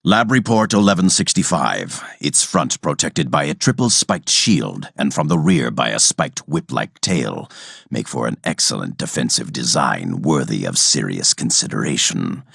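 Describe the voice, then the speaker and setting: Very deep, gravelly robot villain. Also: male voice, fairly bright tone, dry recording, clean studio sound, heavily acted. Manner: measured, clinical, menacing, formal diction. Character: cold, calculating male robot villain scientist. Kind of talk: scripted video game character monologue.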